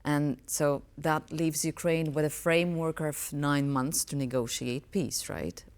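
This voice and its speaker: Low-voiced woman hosting. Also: a little slow, a little mellow. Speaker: TV current affairs host. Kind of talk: broadcast interview questioning.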